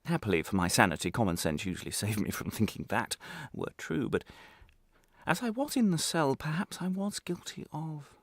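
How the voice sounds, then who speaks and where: A man's voice: mid-range voice, sing-song, moderate pace, no room sound, clean studio sound, voice pushed up. A man playing a guilt-ridden former prisoner telling his story in a radio drama, intense and brooding, in broken, repetitive phrases.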